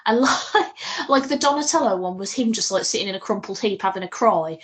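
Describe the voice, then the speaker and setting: A British woman with a medium-pitched voice chats as a podcast co-host. Her delivery is informal and expressive, with a jokey way of putting things. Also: brisk. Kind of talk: casual chat.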